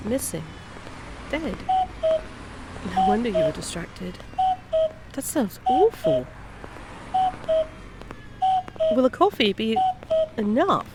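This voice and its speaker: A woman acting in an intimate audio roleplay as a kind, gently teasing private eye, talking softly-concerned and conversational to a stranger. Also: a little high, huge pitch swings, slow pace, long pauses, heavy background noise, heavily acted. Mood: sympathetic. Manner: soft-hearted, hesitant, questioning, playful asides.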